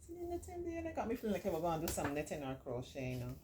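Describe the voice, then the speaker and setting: A woman reading a picture book aloud to children, with encouraging, simply explained asides and expressive, drawn-out emphasis. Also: lowish voice, slight background noise. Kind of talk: read-aloud story time with chatty asides to children.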